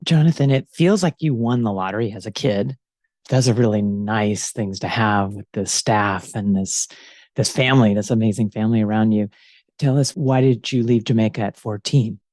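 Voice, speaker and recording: Calm male interviewer, dry close sound. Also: on the low side, dry recording. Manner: friendly, measured questions. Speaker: interview host. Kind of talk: conversational interviewing.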